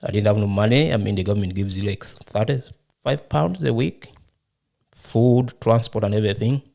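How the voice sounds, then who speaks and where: A middle-aged man from Uganda, with a fairly low voice, speaks as an interview guest. He talks at an unhurried pace and often stops on fillers while he recalls details. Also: gravelly, warm tone. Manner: unhurried, hesitant with frequent fillers. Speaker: guest, charity founder. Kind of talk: interview answers.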